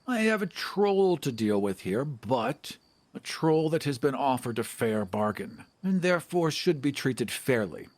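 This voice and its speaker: A man's voice: medium pitch, sing-song, unhurried, no echo. A man playing a tabletop role-playing game, chatting casually and narrating his character's actions. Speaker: player. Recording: clear background noise and ambience.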